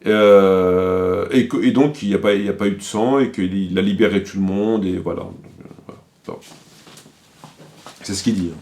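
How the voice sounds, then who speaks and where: A man's voice: low-pitched, moderate pace, pauses often, darkish tone. A man delivering critical commentary, quoting sources didactically and repeating his points for emphasis.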